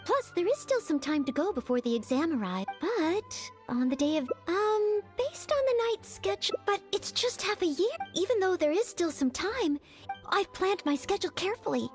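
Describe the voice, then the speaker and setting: A woman's voice: very high voice, very animated, moderate pace, soft background music. A woman voicing an earnest, modest young maid and aspiring knight in an anime-style video game, flustered and hesitant. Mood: earnest, anxious.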